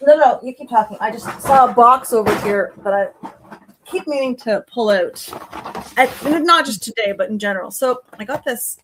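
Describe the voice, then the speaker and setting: A woman's voice: on the high side, normal speed, noisy background. A woman chatting casually and playfully as a livestream host.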